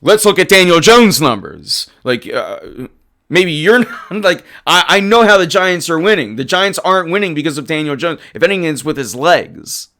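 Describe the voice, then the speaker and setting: A man hosting a live sports talk stream, casually answering chat and arguing football. His voice is hoarse, and he gets emphatic when he runs through stats. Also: fairly high pitch, normal speed. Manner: animated and emphatic, rattling off stats. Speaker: livestream sports show host.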